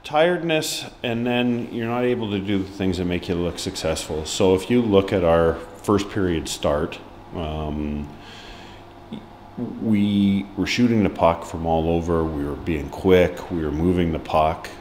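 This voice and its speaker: A man in his forties speaks as a hockey head coach after a match. His voice sits very low, and he talks in halting bursts, with many ums, restarts and frank remarks. A steady hum of noise runs under the recording in a press room. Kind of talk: post-match press interview. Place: indoor press room before a sponsor board.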